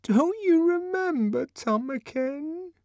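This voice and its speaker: Man putting on a shrill, desperate middle-aged woman. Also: big pitch swings, measured pace, clean tone, no echo, studio quality. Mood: anguished pleading. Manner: pleading, wailing.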